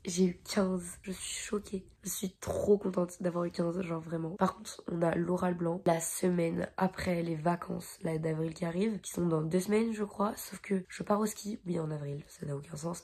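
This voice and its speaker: Late-teen girl vlogging on her phone, nasal from a cold, slangy, half-whispered, lowish voice. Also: fairly even intonation, normal speed, few pauses, warm tone, breathy edge. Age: late teens. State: congested with a cold. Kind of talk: casual diary vlog chat. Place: bedroom at home. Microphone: phone camera.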